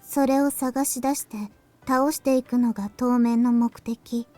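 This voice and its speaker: Actress as quiet little visual novel girl, factual delivery, high mellow tone, faint music behind. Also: level tone, fairly quick, close to an everyday voice. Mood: calm, factual. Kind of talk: Japanese visual novel voice acting.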